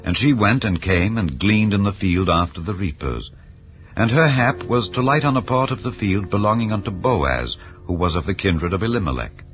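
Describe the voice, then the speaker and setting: Deep-voiced man narrating scripture. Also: lively intonation. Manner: solemn, measured storytelling. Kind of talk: scripted dramatized Bible reading. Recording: slightly dull, soft music behind.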